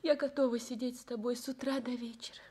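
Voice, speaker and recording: A woman's voice: on the high side, steady tone, fast talker, dark tone, husky. An actress playing a devoted, anxious young nurse caring for her sick husband in a Soviet radio drama. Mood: tender, consoling.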